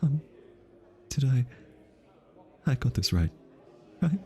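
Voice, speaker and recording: A man playing an easily flustered, lovestruck hitman in an intimate ASMR roleplay, halting and stammering as he nervously over-explains. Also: medium pitch, big pitch swings, dark tone. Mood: nervous, analytical.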